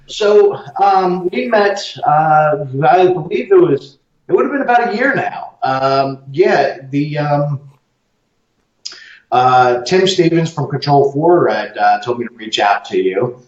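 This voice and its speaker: A man speaking as a business-owner guest from his office on a video call, relaxed and hesitant with many ums. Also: on the high side, takes it slowly, roomy sound, recorded from a distance, highs cut off. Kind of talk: remote interview conversation.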